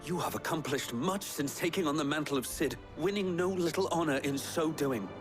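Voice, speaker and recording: A man's voice: a little high, brisk, over music, lightly acted. A man playing an eager young noble who hopes to be a squire, formal and courteous in a game drama. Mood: earnest. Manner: formal, courteous, nervously deferential. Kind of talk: video game drama acting.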